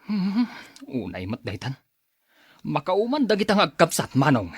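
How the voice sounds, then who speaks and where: A man's voice: on the high side, wildly swooping pitch, measured pace, slightly rough, dry recording, studio quality, heavily acted. A man playing an irritable, grudging younger brother with an office career in an Ilocano radio drama. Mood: reluctant.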